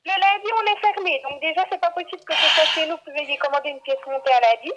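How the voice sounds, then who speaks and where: A woman working as a shop employee, handling a customer call in a brisk, firm, questioning way. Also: sits high, a bit bright. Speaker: bakery employee. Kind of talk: unscripted customer phone call. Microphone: telephone. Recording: dull, band-limited phone line.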